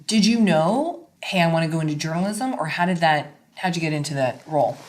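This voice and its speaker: A woman hosting a podcast interview in an office, bubbly and enthusiastic, with a lively, jumpy way of asking questions. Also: a little fast. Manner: bubbly, enthusiastic, jumps around in pitch. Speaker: podcast host.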